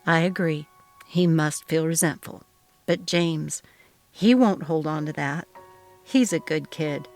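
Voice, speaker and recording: A woman with a fairly low voice reads a story told as messages, acting out the dialogue dramatically. Music plays clearly along with her reading. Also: slow pace, darkish tone, no room sound. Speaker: story narrator.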